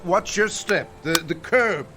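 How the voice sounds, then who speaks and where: A man's voice: high voice, takes it slowly, over music. An actor playing a patient, cautious chauffeur in a radio drama, polite and hesitant with his employer. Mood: deferential.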